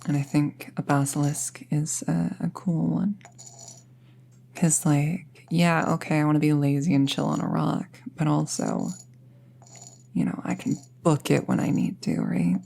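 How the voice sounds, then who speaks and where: Woman doing a laid back young witch chatting softly in an audio roleplay, low n gravelly, kinda breathy. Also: level tone, a little slow, full character voice. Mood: dreamy, amused. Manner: casual, musing, softly breathy, often near a whisper. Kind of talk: intimate roleplay audio, soft rambling monologue.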